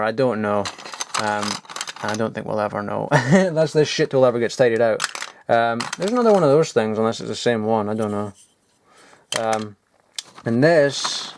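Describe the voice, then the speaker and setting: A man talks his way through a pile of old toys in an offhand, rambling voice, trailing off into mumbled asides and tossing in casual swears. His pitch sits in an ordinary middle range, and a faint hiss runs under the recording. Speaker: toy collector vlogger. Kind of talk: casual off-the-cuff commentary while sorting toys. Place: cluttered loft.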